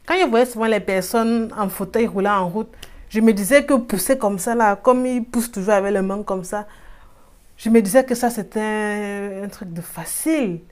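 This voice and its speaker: A woman's voice: medium pitch, normal speed. A woman, not a native French speaker, telling her own story in a casual vlog, often groping for the right word. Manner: searches for words often, lively exclamations. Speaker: host. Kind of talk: casual personal vlog narration.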